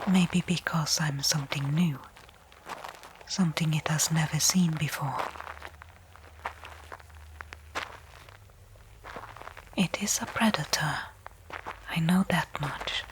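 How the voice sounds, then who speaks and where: A woman playing a tense stranded space explorer in a breathy, hushed ASMR audio roleplay monologue, phrasing deliberately with pauses. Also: sits low, background noise. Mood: uneasy, wary. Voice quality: breathy, unvoiced whisper.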